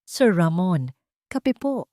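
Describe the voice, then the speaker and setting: Babaeng gumaganap na malumanay pero madiing matandang kasambahay. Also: female voice, lowish voice, very animated, slow pace, no room sound. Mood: gently insistent. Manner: soft-spoken yet insistent, respectful. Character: gentle but firm elderly housemaid, motherly and worried.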